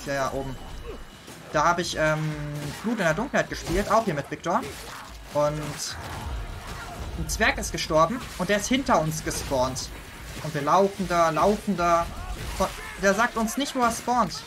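A chatty German man talks through a co-op game round, voice sitting a little high for a man. He laughs easily and drops quick asides while he plays. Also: expressive. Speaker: gaming channel host. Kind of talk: casual gameplay chat.

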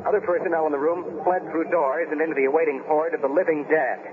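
An actor playing a shaken American newsman reporting live from an outbreak in a halting, horrified 1970s radio drama style. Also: on the high side, steady tone, close to an everyday voice. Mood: grim, unsettled. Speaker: field news reporter. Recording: tinny band-limited 1970s radio recording.

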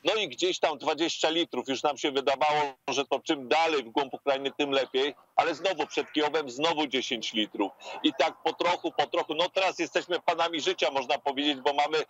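A man in his fifties giving a hesitant live field report outdoors on a residential street, speaking through an earphone headset with many fillers and pauses. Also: on the high side, fairly bright tone. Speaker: war correspondent. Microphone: earphone headset on a video link. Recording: lo-fi video call audio.

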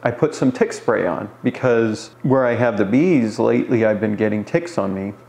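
Guy explaining stuff casually to the camera, kinda low creaky voice, pauses a lot, roomy echo. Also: animated, a little mellow. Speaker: beekeeper answering beginners' questions. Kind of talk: informal explanatory talk to camera. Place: small bare room indoors. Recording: roomy echo, faint background noise.

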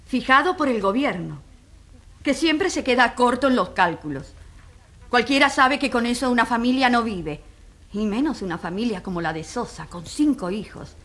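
A determined prosecutor, questions pointedly in a fairly high voice. Her intonation is very lively and deliberate, in radio courtroom drama style. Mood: incisive, insistent.

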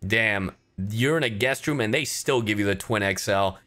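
A man's voice: on the low side, normal speed, pushed and bright. A young man giving casual, irreverent gameplay commentary, playful and full of swearing. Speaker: game streamer.

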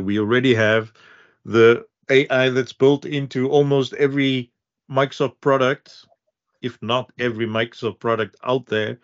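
A middle-aged man co-hosting a casual tech discussion as a security specialist, recorded over a video call from a home office. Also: mid-range voice. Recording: slightly dull video-call audio.

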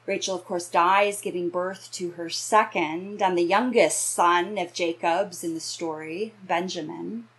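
Slow-paced woman minister giving a reflection. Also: fairly low pitch, steady tone, poor audio. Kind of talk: sermon, explanatory reflection. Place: small indoor room with bookshelves.

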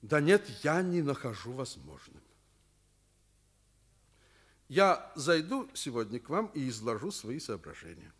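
Strict soviet principal in a radio play, bright forceful voice, very expressive pitch. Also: male voice, unhurried, long pauses, slightly rough, full character voice. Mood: curt, official. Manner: emphatic, commanding, articulate. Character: stern, principled male Soviet school principal. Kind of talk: mid-century Soviet radio play acting.

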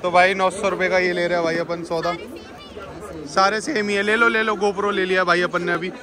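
A man's voice: sits high, flat delivery, moderate pace. Chatty young man vlogging in a crowd. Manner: chatty, haggling, talks to camera and friends. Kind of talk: casual market vlog. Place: busy outdoor night market and car. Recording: crowd noise of a street market.

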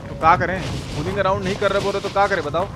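A man playing a folksy, excitable Indian uncle with a Bihari Hindi accent, commenting on a game in crude, exclaiming slang for a live stream. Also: high-pitched, rapid-fire, natural voice. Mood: teasing. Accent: eastern Hindi, Bihari. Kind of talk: live horror game commentary with chat replies.

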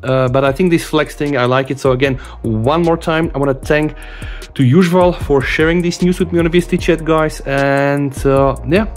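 A middle-aged man giving a casual hands-on phone demo in an animated, exclamatory way. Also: fairly high pitch, normal speed, faint music behind. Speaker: tech reviewer. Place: small room at a desk, indoors.

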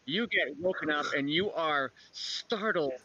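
A man acting as game master, narrating an online roleplaying game in a halting, improvised way. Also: on the high side, crowd noise. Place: home room, online video call. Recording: dull lo-fi video call.

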